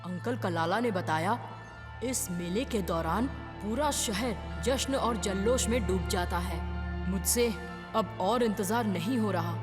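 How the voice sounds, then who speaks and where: A woman's voice: medium pitch, measured pace, lightly acted. A woman voicing a cheerful, food-loving young boy in a dubbed children's cartoon, eager and wide-eyed, with music playing behind. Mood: cheerful storytelling. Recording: clear music bed behind the voice.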